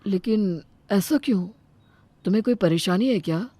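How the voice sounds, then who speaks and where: Woman doing a young son, brisk plain speech, mid pitch, dry studio, cartoon. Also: mellow, no echo, natural voice. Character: young married son, curt then caring. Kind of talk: cartoon dubbing.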